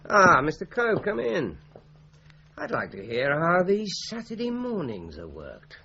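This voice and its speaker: Methodical British police inspector, muffled recording. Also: male voice, on the high side, huge pitch swings, creaky, pushed and bright, exaggerated voice. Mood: polite probing. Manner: measured, businesslike, politely probing. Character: methodical, courteous male police inspector questioning suspects. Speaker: actor in a radio crime drama. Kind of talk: 1980s British radio drama acting. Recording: muffled radio drama recording with hiss.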